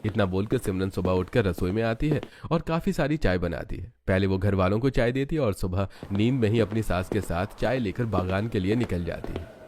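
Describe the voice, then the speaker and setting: A man's voice: fairly low pitch, brisk, darkish tone, slight background noise. A man giving scripted narration for a cartoon story as its narrator.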